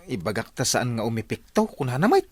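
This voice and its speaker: A man's voice: medium pitch, very animated, brisk, slightly rough. An actor playing a gullible, coy male villager in Ilocano radio comedy, hesitant and confiding with a Filipino accent. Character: coy, gullible male villager, secretive about his love life.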